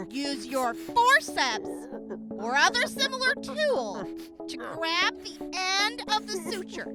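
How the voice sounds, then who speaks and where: Woman acting a very high-pitched, cheeky young girl. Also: full character voice. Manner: perky, sing-song, sassy. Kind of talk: post-apocalyptic radio drama acting. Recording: music playing behind the voice.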